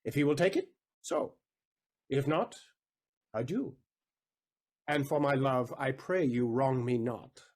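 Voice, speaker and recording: An actor playing an aggrieved, wily moneylender in a Shakespearean audio drama, deliberate, rhetorical and sardonic in manner. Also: male voice, medium pitch, darkish tone, close to an everyday voice. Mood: feigned hurt.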